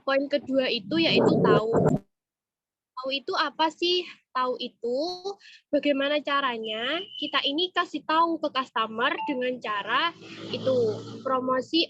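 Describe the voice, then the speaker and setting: A woman's voice: high-pitched, measured pace, fairly bright tone, no room sound. A woman with a cold gives an informal, conversational training presentation over an online meeting, often checking in with her listeners. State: has a cold and cough. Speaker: webinar presenter and retail trainer. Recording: muffled, dull online-meeting audio.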